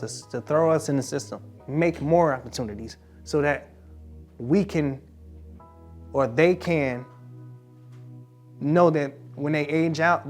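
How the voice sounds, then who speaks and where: A twenty-one-year-old man with a slightly high voice talks earnestly in a personal interview, with faint music playing behind him. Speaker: youth who aged out of foster care.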